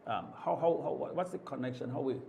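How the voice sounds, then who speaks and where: A man's voice: mid-range voice, vocal fry, slight background noise. A man hosting a live morning TV show in a studio, talking casually with guests and often repeating words as he goes. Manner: halting, repeats words, warm welcomes.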